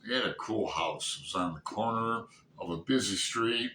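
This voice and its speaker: A man with a Midwestern American accent talks casually in an echoey room with some noise behind him. His voice is on the low side and bright. He hosts like a book vlogger, halting often, drifting into asides and asking himself questions. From Toledo, Ohio, United States. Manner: halting, digressive, self-questioning. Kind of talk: casual rambling chat to viewers.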